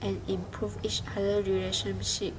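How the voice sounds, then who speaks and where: A young Malaysian woman, a university student, giving a halting spoken reflection with many restarts and fillers. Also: mid-range voice, completely flat delivery. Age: young adult. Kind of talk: spoken class reflection in a second language. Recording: noisy background hiss.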